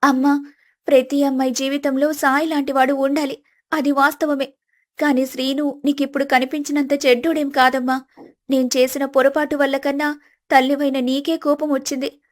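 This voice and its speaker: Young independent wife, played by a woman, high voice, measured, polite and resolute, storybook drama. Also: flat delivery, natural voice. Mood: gentle, resolute. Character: calm, firm, independent young married woman.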